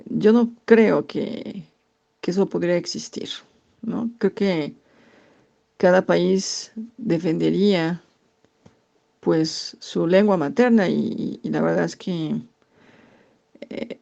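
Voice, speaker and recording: Gravelly Mexican woman, halting answers. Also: on the low side, dark tone. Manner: thoughtful, halting with frequent fillers. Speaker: interviewee, English teacher. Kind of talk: answering interview questions. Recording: dull lo-fi recording.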